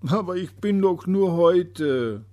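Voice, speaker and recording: A man's voice: high voice, lively intonation, slow pace, warm tone, voice pushed up. A man voicing a small, eager young male day spirit in a storybook reading, calling out and pleading.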